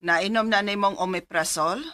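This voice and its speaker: A woman's voice: medium pitch, brisk, bright, forceful tone, dry recording, studio quality, lightly acted. An actress playing a middle-aged, fussy but caring mother in a Filipino radio drama, nagging expressively with a Cebuano accent. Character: fussy, caring, scolding mother of grown son.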